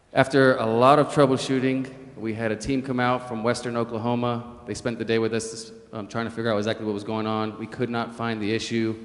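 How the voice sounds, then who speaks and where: A man giving an informal briefing as a city official at a public meeting. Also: fairly low pitch. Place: large indoor council chamber. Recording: very echoey hall sound.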